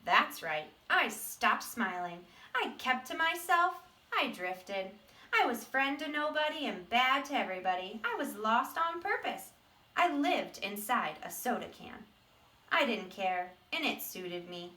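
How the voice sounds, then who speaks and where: Woman as a high-pitched, mischievous little storybook seed. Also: very animated, sharp tone, exaggerated voice. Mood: sullen. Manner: dramatic, emphatic delivery with drawn-out words. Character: mischievous, self-declared bad little sunflower seed, male, confessional. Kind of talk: animated children's storybook read-aloud. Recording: roomy echo, distant microphone.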